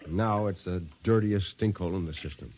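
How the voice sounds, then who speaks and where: A man's voice: low-pitched, a little fast, slight background noise. An actor playing a plainspoken, world-weary American spaceman as a storyteller in an old-time radio drama. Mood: gruff. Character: world-weary male spaceman, old shipmate telling the tale. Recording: vintage radio drama with loud music.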